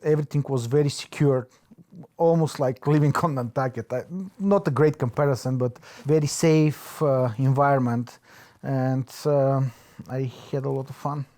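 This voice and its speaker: A man with a Bulgarian accent, reflecting in an interview, pausing often to search for his words. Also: medium pitch, mellow. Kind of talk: reflective oral history interview.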